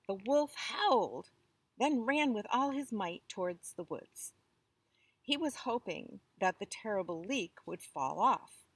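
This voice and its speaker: A woman reading a children's story aloud with expressive, deliberate phrasing. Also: mid-range voice, husky, dry recording.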